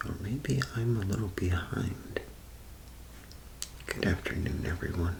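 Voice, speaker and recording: A man whispering in a breathy, creaky voice, playing a polite, unhurried clerk in an ASMR role play. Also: deep voice, background noise. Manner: unhurried, polite customer-service patter.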